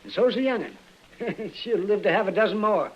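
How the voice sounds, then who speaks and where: An American actor playing a crusty, good-hearted older country doctor in a 1950s radio western, folksy and drily teasing. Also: male voice, high-pitched, expressive, normal speed, husky. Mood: wry, reassuring. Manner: dry, folksy, chuckling. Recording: dull, faintly noisy vintage radio recording.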